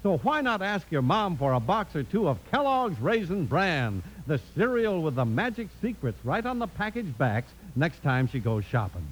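A man narrating and pitching the sponsor as a vintage radio announcer, with a folksy, polished delivery. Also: very animated, moderate pace, hardly any pauses, a little hiss or hum, rough recording.

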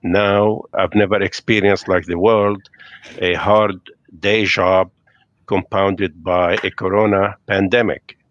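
Middle-aged man, deliberate. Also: low voice, little pitch movement, fairly bright tone, dry recording, muffled recording. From Lebanon. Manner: thoughtful, hesitant with fillers, slightly non-native phrasing. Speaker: podcast guest. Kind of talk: remote interview conversation. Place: home. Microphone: video call.

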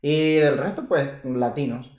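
A man's voice: medium pitch, darkish tone. Hesitant man, echoey room. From Venezuela. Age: 30s. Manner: hesitant, halting, many fillers. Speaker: podcast co-host. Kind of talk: casual chat.

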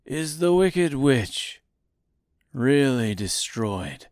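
A man's voice: mid-range voice, sing-song, very slow, darkish tone, no echo, clean studio sound, pitched above the performer's own voice. A man voicing a timid little old humbug wizard in a storybook, speaking meekly with a tremble. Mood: nervous. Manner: trembling, meek.

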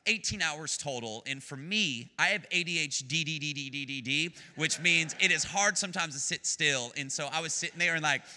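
A man's voice: a little high, normal speed, hardly any pauses, pushed and bright, slight background noise. A man in his late twenties with an American accent, preaching as a guest pastor in an enthusiastic, chatty way with jokes for the congregation. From United States. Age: 20s. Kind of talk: sermon. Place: church sanctuary. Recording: long church-hall reverb.

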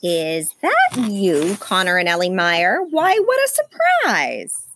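A woman's voice: medium pitch, huge pitch swings, slow pace, a bit bright, slight background noise, heavily acted. Woman playing an old lady teacher, wildly swooping voice. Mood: surprised, suspicious. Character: creepy-sounding old lady schoolteacher, hospitable. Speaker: voice actor in an audio drama. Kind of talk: amateur audio drama acting.

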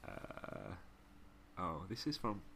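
A man's voice: low voice, slow pace, creaky, a little hiss or hum. A man co-hosting a casual podcast, reading listener messages aloud and joking and laughing as he goes. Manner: joking and laughing often, relaxed slangy talk. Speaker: podcast co-host.

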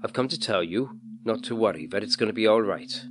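Man acting a calm, mysterious teacher, low-pitched. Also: noisy background, natural voice. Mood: reassuring. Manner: soothing, measured, kindly explaining. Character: calm, mysterious male history teacher, gently patronising.

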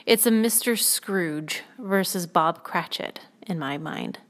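Soft soothing woman doing a solo podcast, bit low voiced, lots of thoughtful pauses. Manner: thoughtful, pausing often with ums. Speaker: podcast host. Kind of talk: reflective spoken monologue.

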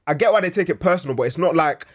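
A man's voice: a little high, lively intonation, racing. A man in a casual podcast chat, agreeing often and laughing along. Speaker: podcast co-host.

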